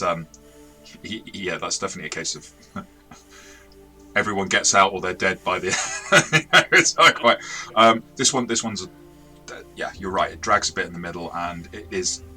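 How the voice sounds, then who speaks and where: A man's voice: low-pitched, pushed and bright. A man co-hosting a casual review chat as the game master, chatty and stammering on restarts. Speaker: podcast co-host, game master.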